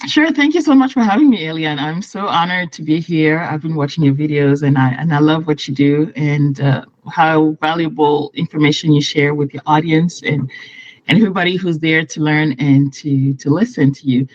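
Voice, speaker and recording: A woman's voice: low voice, moderate pace, a little mellow, no echo. This is a woman in her thirties from Morocco, speaking as a guest over a video call. Her voice comes through a little dull and lo-fi, very dry with no room sound. She talks at length, circling back and restarting her sentences as she explains.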